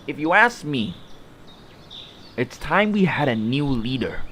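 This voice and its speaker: A man's voice: medium pitch, big pitch swings, slow, sharp tone, a little hiss or hum, exaggerated voice. A man playing a resentful, whiny fantasy creature in an audio drama, griping and blustering as a would-be mutineer. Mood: indignant.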